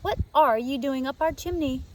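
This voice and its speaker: Woman as a squeaky, prim little girl. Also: normal speed, a little mellow, far from the mic, a little hiss or hum, close to an everyday voice. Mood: surprised. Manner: squeaky, breathless, scolding.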